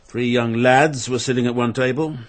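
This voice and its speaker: An actor playing a thoughtful police inspector, measured and dryly humorous, in a radio drama. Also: male voice, medium pitch, steady tone, lightly acted.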